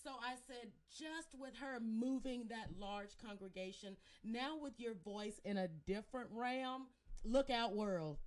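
A woman hosting a casual live-stream interview, talking in an animated, playful, exclamatory way and addressing her listeners. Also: a little high, unhurried. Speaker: host.

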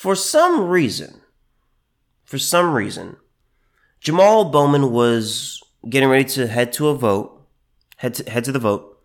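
A mid-pitched man talks through a solo podcast, sounding a little under the weather from allergies. He stops and restarts often, repeating his phrases and filling gaps with ums and uhs. When he wants to drive a point home, he slows right down. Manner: halting, repeats phrases, many fillers. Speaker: podcast host.

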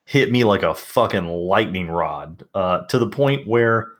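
Deep-voiced Southern American man. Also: lively intonation, measured pace. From Southern United States. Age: middle-aged. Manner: laid-back, swears freely, wry. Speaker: podcast co-host. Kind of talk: casual chat.

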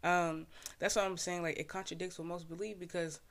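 A man's voice: sits high, level tone, brisk, a bit bright. A young man from Haiti, a podcast host and poet, casually explaining his own poem in laid-back slang full of "like" fillers.

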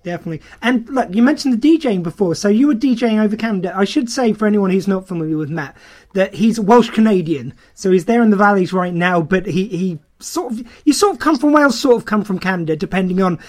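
A man's voice: high-pitched, animated, fast talker. A man hosting a casual radio interview, speaking in a rambling, informal, encouraging way. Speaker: radio show host.

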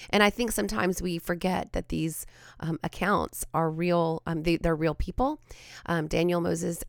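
A woman hosts a devotional podcast in a fairly low, gravelly voice, hoarse and raspy from a cold. She talks in an earnest, reflective way, often pausing with ums and restarting her sentences. The sound is dry and close to the mic. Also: dry recording. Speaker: podcast host and Bible teacher.

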